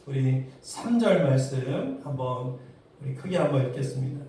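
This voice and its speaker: A man's voice: mid-range voice, expressive, normal speed, warm tone, a little hiss or hum. A man preaching a sermon, putting rhetorical questions to his congregation. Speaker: pastor preaching. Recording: very echoey hall, distant mic.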